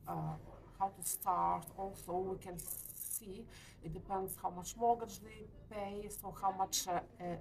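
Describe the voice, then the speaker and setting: A woman in her forties from Lithuania, speaking English with a Lithuanian accent, answering interview questions hesitantly with many pauses. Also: mid-range voice, steady tone, creaky, slight background noise, rough recording. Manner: hesitant, frequent uh pauses, light laughs. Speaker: letting agency owner. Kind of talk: business interview. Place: hotel meeting room.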